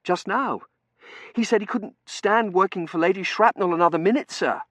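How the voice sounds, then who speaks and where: A nervous young undergraduate man voiced by a male audiobook reader. His voice is pitched high, hesitant and deferential, with a tendency to stammer. The sound is dry and close. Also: normal speed, fairly bright tone, no room sound.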